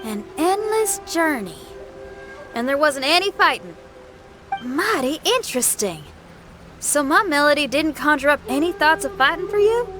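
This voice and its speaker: A woman's voice: high voice, big pitch swings, normal speed, music in the background. A woman playing a confident, free-spirited young musician warrior in a video game, bold and folksy in manner. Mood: warm, confident. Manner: folksy, bold, plain-spoken.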